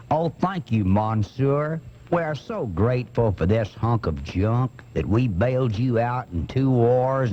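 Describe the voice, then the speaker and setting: An indignant Southern redneck ranting on comedy radio. He drawls slowly and scornfully, pitch lurching up and down. The tape is dull and muffled. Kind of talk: comic radio rant monologue. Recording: muffled off-air cassette radio recording.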